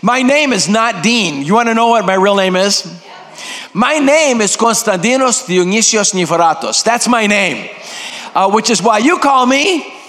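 An older man preaching to a church congregation as a guest speaker, loud and energetic, joking often. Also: high-pitched, moderate pace. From Greek heritage. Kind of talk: energetic sermon with jokes. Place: large church sanctuary. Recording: long reverb of a church hall.

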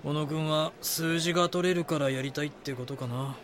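A man playing a calm, measured chief editor mentoring a junior in a Japanese voice drama. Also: on the high side, a little hiss or hum, lightly acted. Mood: probing, instructive. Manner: instructive, composed.

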